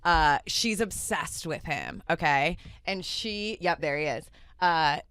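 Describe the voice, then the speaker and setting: A woman's voice: low voice, takes it slowly, a bit bright, smooth, dry recording. A young woman in her twenties hosting a casual podcast, giggly and full of "like", on a studio mic in a small studio. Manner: giggly, lots of "like". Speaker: podcast host. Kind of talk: casual chat. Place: small studio with green booth seating. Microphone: studio mic with headphones.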